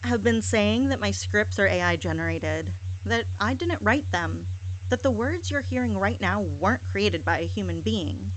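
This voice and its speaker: A woman delivering a scripted monologue in a sarcastic, deliberate manner, with repetitive phrasing. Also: medium pitch, expressive, rough recording. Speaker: hypnosis audio performer. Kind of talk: scripted monologue, mock apology.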